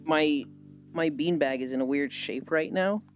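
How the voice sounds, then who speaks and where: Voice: medium pitch, a little slow. A livestreamer chatting casually and answering viewers in a rambling, playfully teasing way with mild swearing. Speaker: livestreamer, host. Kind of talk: casual livestream chat, reading and answering chat messages. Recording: dull lo-fi audio with music. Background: music.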